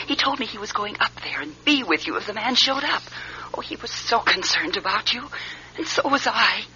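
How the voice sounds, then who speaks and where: A devoted wife in a radio drama, anxious and tender. Her voice is bright and tense, full of worried exclamation. The recording is muffled and lo-fi. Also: mid-range voice, slight background noise. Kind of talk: dramatic radio play acting.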